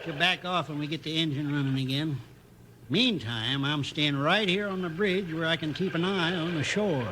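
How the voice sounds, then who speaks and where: A man as a high-voiced, blustery riverboat captain. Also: normal speed, lightly acted. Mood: worried. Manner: blustery, exclaiming. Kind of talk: 1950s radio western acting. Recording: noisy 1950s radio recording.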